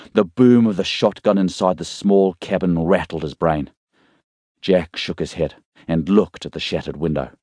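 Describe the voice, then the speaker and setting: A man's voice: deep voice, darkish tone, no room sound, clean studio sound. A man reading scripted fiction as a dramatic audiobook narrator.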